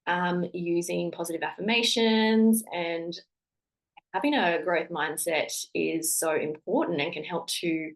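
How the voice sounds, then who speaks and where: Croaky, congested woman host. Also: lowish voice, poor audio. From Australia. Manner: warm encouraging tone with filler-heavy restarts. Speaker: podcast host. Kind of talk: solo podcast monologue.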